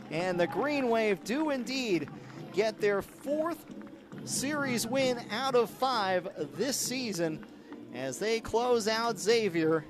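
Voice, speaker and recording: A man's voice: sits high, noisy background. A man doing live radio play-by-play commentary as a baseball announcer.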